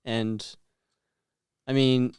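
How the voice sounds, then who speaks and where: A man's voice: medium pitch, flat delivery, very slow, darkish tone, clear, resonant tone, dry recording, clean studio sound. A young man, a podcast guest, talking in an easygoing, hesitant way. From New Zealand. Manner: easygoing, hesitant, lots of fillers. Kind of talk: casual chat.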